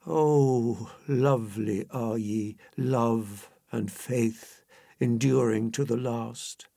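A man recites poetry with solemn, theatrical weight. His voice is husky and he takes his time over each phrase. The delivery is measured and dramatic throughout. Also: mid-range voice, steady tone, warm tone, no room sound, clean studio sound. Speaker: poetry reader.

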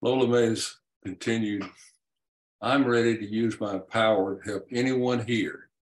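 A man, an author and podcast guest, telling and explaining a historical story in a measured, deliberate way. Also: on the low side, fairly even intonation, poor audio. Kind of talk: reading his own historical story and explaining it.

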